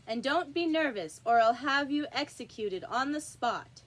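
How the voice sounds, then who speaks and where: Storybook casting: imperious, impatient old king as judge, raised pitch, forceful volume , acted by a woman. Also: lively intonation, unhurried, low-quality recording. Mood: threatening.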